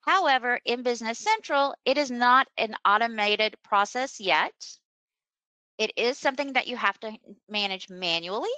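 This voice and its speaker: A woman presenting a webinar as a software trainer, explaining a screen demonstration step by step in an unscripted way. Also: on the high side, animated, moderate pace, dry recording. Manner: instructional, step-by-step.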